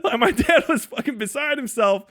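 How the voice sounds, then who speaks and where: A man in his forties with a high voice, recorded dry and close to the ear. He rambles irreverently through a casual livestream rant and swears heavily as he goes. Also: expressive, normal speed, a bit bright, no echo. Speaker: podcast host and streamer.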